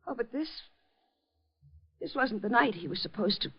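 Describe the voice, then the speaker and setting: An American actress playing a terrified, haunted young woman in a 1950s radio drama, halting and stammering breathlessly. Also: a little high, animated, breathy edge. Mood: anxious, haunted. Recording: muffled, noisy vintage radio recording.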